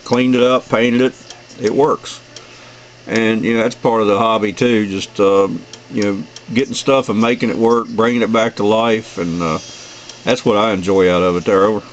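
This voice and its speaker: A man's voice: lowish voice, steady tone, moderate pace, lo-fi sound, a little hiss or hum. An older American man chatting casually on amateur radio in a folksy, rambling way. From United States. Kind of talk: casual chat over the radio. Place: at a home base radio station. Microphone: desk radio microphone in the room.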